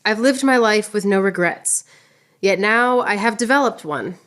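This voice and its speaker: A woman's voice: mid-range voice, moderate pace, fairly bright tone, natural voice. A woman playing a proud, witty, wounded swordswoman poet in radio theater, with a lofty, theatrical delivery. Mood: tender longing.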